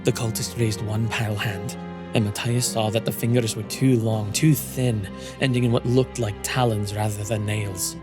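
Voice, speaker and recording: A man reading scripted dramatic fantasy narration as a storyteller, in a measured, solemn manner. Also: on the low side, little pitch movement, music in the background.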